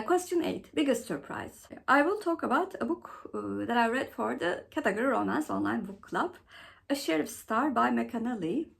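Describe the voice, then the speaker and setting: A woman presenting a book vlog as host, going through questions in a halting way with frequent mid-phrase pauses. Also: fairly high pitch, creaky. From Turkey.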